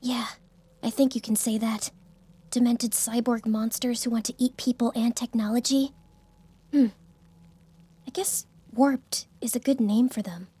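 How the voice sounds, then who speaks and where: High-voiced, wary young student. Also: female voice, steady tone, measured pace, pauses often, warm tone, husky, no room sound. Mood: explaining. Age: young adult. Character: cautious, clever young female student. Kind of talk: audiobook drama acting.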